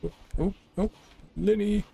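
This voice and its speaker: A man's voice: high-pitched, steady tone, unhurried, creaky, mellow. A man giving casual gameplay commentary, thinking aloud in short, musing fragments. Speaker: gamer commenting on own playthrough. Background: game music.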